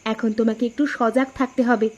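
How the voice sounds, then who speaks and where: Woman acting a high-voiced reassuring village wife. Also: level tone, quick pace, darkish tone, lo-fi sound, natural voice. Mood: reassuring, confident. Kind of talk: Bengali cartoon dub.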